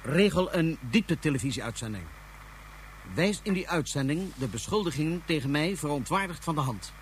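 A man's voice: on the high side, lively intonation, normal speed, slight background noise, close to an everyday voice. Man playing a haughty, scheming space-age ruler. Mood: commanding. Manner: imperious, curt, calculating. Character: haughty, scheming fugitive ruler of a Martian realm. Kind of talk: science fiction radio play acting.

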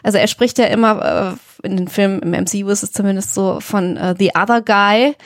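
A woman's voice: mid-range voice, fairly even intonation, fairly quick, dry recording, studio quality. A woman co-hosting a podcast, explaining things conversationally and hesitantly, thinking aloud in long winding sentences. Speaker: podcast co-host.

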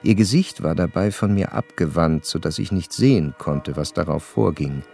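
An actor playing an educated, reflective gentleman narrator in a literary radio drama, speaking in a measured, formal way. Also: deep voice, vocal fry, soft background music. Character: curious educated gentleman recounting a sad memory.

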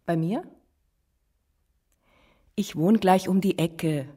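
A woman's voice: on the low side, takes it slowly, mellow, distant mic. A woman playing a friendly university colleague in casual radio drama dialogue. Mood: casual, tentative.

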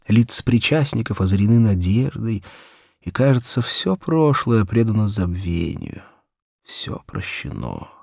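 A man narrating a children's story in an expressive, theatrical first-person reading, moving between hushed and excited delivery. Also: medium pitch, gravelly, no echo, clean studio sound. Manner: theatrical, animated, swinging from hushed whispers to excited exclamation. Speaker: audiobook narrator.

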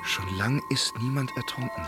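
An actor playing an uneasy, foreboding night-time passer-by in a German radio drama, hushed and in short phrases. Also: male voice, medium pitch, normal speed, natural voice. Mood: eerie unease. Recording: loud music behind the voice.

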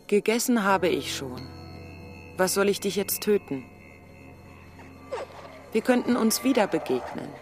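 A self-assured young she-lizard of a radio play, clever and battle-wise. Her mid-pitched voice is matter-of-fact and measured, with music clearly running underneath. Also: big pitch swings, a little mellow. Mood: cool, knowing. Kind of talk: German radio drama acting.

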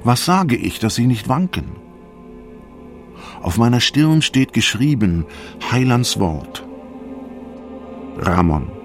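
Langsam grübelnder, frommer Mann. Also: male voice, mid-range voice, very animated, soft background music. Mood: brooding, confessional. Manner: brooding, measured, incantatory. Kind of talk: poetic scripted radio drama monologue.

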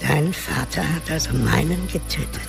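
An actor playing a prickly artificial creature in a radio play, with a gravelly voice. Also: male voice, fairly high pitch, normal speed. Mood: probing. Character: prickly male artificial creature with glowing eyes. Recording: music behind the voice.

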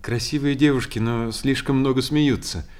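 An actor playing a terse, brooding travelling circus animal tamer in a theatrical radio play. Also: male voice, mid-range voice, fairly even intonation, moderate pace, a little mellow, natural voice. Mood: curious, musing.

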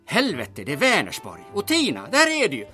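A man's voice: high voice, wildly swooping pitch, pushed and bright, soft background music, full character voice. An actor playing a muddled, cranky older forger in old-time radio comedy style, rambling and grumbling as he goes. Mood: muddled, alarmed.

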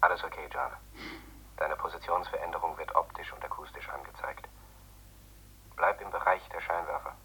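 A man's voice: deep voice, steady tone, normal speed, long pauses, bright, forceful tone, a little hiss or hum, poor audio. An actor playing a sardonic, worried astronaut who monitors his partner in a German science-fiction radio play, speaking in a dry, sceptical, pressing way. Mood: businesslike.

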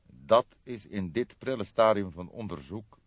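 Casting: male narrator as seasoned old detective, fairly low voice, wry, calm, choosing words carefully, for a crime audiobook. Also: dry recording, dull audio. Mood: measured, cautious. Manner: careful, deliberate. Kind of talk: audiobook character voice in a detective story.

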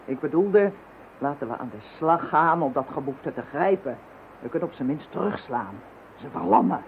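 A woman's voice: sits low, lively intonation, normal speed. A woman playing a fierce, determined psychic in an audio drama, speaking emphatically. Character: fierce, determined woman leading psychic fight against villains. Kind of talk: Dutch audio drama acting. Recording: thin phone-like sound, faint noise.

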